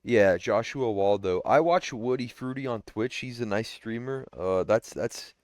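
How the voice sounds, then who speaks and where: Man, voice call, mocking, deadpan, dry close sound. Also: mid-range voice, measured pace, no echo. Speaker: streamer. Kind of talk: trolling banter on a voice call.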